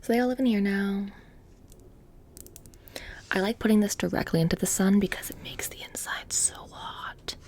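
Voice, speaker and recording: A soft-spoken woman doing close, whispery ASMR roleplay as a witch shopkeeper, with a casual, halting, deadpan menace. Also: mid-range voice.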